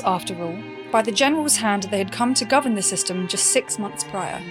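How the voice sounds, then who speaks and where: An actress plays a measured woman historian in a sci-fi audio drama. Her voice sits fairly high and she explains events like a scripted documentary, over clearly audible music. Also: close to an everyday voice. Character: measured female historian recounting a future war.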